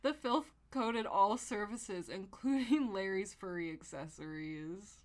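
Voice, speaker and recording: A woman with a medium-pitched voice hosts a comedy podcast. She reads stories aloud expressively and drops into casual, sweary asides. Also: a little slow. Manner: expressive, foul-mouthed. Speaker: podcast host. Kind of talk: comic reading aloud of fan fiction with casual chat.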